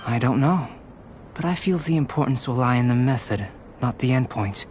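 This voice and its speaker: A man playing a measured, scholarly young alchemist, background noise. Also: mid-range voice, animated, darkish tone, natural voice. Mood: curious, explaining. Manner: measured, precise, academic phrasing. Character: calm, curious, scholarly young male alchemist, politely detached. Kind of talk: video game voice acting.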